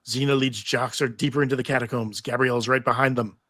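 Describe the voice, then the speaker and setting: Bright-voiced man narrating a script. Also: medium pitch, fairly even intonation, fast. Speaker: narrator reading stage directions. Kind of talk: scripted narration at a live table read.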